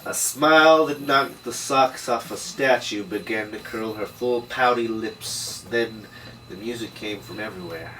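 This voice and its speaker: A man's voice: fairly low pitch, a little slow, pushed and bright. A man playing a cynical, hard-drinking noir detective with tough, slangy storytelling in a drama table read. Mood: wry, world-weary. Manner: hardboiled slangy first-person storytelling. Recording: distant microphone, noisy room.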